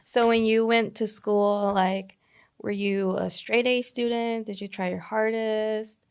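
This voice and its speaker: A woman's voice: medium pitch, fairly even intonation, slow pace, clean tone. A woman interviewing someone casually, in a conversational style. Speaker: podcast interviewer.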